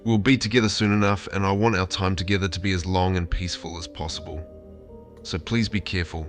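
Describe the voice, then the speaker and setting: A low, earnest AI companion with a man's synthetic voice speaks tenderly, like a lovestruck therapist. The manner is affectionate and coaxing, with music softly behind it. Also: steady tone, quick pace, lightly acted. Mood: pleading. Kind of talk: AI voice chat, intimate conversation.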